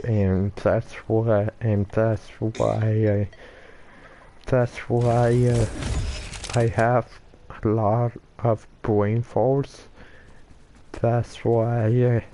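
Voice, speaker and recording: A man giving casual gameplay commentary in a halting, stuttering way, with many restarts and fillers. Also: medium pitch, little pitch movement, mellow, slight background noise.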